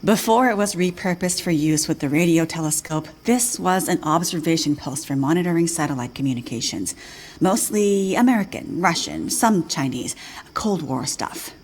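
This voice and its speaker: An actress playing a grave older female scientist in a video game cutscene, speaking in a measured, solemn way. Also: fairly low pitch, animated. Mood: knowing.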